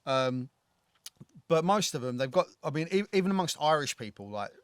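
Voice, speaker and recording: A man's voice: on the high side, sing-song, normal speed, clear, resonant tone, no room sound, clean studio sound. A man with a thick London accent, rambling and swearing as he chats about films on a podcast. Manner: rambling, swears freely, many fillers and restarts. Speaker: podcast co-host. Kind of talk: casual film discussion. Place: living in Ireland.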